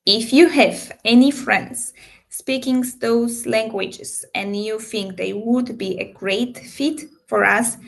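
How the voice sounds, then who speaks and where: A woman hosts a live recruiting Q&A and talks through the job at a slow, deliberate pace. Her voice carries a gravelly, creaky edge. She fills pauses with frequent ums and uhs, and her phrasing is slightly non-native. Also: on the high side, rough recording. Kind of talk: live Q&A, explaining job details.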